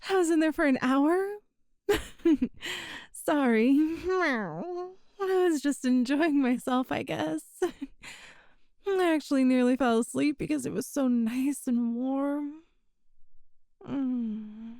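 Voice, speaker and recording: A woman's voice: sits high, slow, long pauses, no room sound, studio quality. A woman voicing a shy meowing catgirl thief. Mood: relieved. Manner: soft, hesitant, stammering, meows between phrases. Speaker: voice actor. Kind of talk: ASMR audio roleplay.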